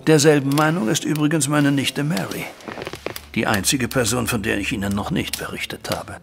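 An actor as a gravelly, troubled widowed banker. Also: male voice, medium pitch, steady tone, lightly acted. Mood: melancholy. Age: middle-aged. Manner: formal, deliberate storytelling. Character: troubled widowed banker, worried disappointed father. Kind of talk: German audio drama acting, recounting events. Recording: music bed behind voice.

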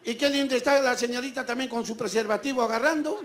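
A man's voice: very high-pitched, fast talker. A man from Peru with a Peruvian accent performing stand-up comedy, telling stories theatrically and bantering with his audience. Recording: live show with crowd and background noise. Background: audience ambience.

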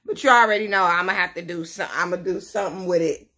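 A woman's voice: fairly low pitch, quick pace, bright, forceful tone. A woman, chatting casually to camera at home, rambling and laughing as she talks. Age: 30s. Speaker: vlogger, mother of many. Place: small indoor room at home.

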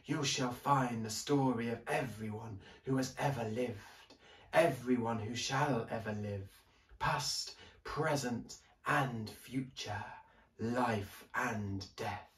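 A man voicing a hooded spectral librarian who speaks in a slow, hushed whisper, in a roomy recording made some way off the mic. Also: medium pitch, far from the mic. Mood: solemn. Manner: slow, hushed, measured speech.

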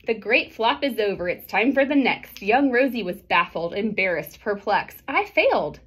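A woman's voice: medium pitch, lively intonation, moderate pace, fairly bright tone, breathy edge. A woman in her thirties reading a picture book aloud expressively to children, with dramatic phrasing and short asides to her listeners. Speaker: storybook reader for children. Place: small indoor classroom or office.

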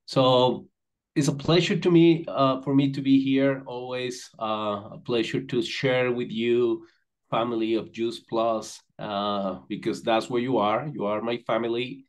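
A man's voice: mid-range voice, steady tone, measured pace. Man talking on a video call. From Venezuela. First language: Spanish. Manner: friendly, rambling with restarts and fillers. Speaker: company managing director, guest. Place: indoors with virtual backdrop. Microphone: video call, computer mic.

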